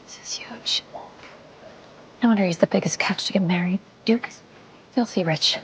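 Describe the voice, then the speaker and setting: A woman's voice: mid-range voice, normal speed, in a whisper, natural voice, poor audio. A woman playing a polite but forthright young noblewoman in an audio drama. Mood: awed. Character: young noblewoman, polite yet boldly outspoken.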